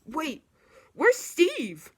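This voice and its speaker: A woman's voice: fairly high pitch, sing-song, slow, fairly bright tone, slightly rough, voice pushed up, heavily acted. A woman voicing an eager, concerned male cartoon hedgehog hero with urgent exclamations.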